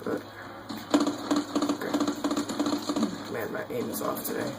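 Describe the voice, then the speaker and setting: A man's voice: slow pace, heavy background noise. A man giving casual, offhand gameplay commentary, muttering asides to himself in a home recording with game sounds behind him. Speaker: gaming video creator.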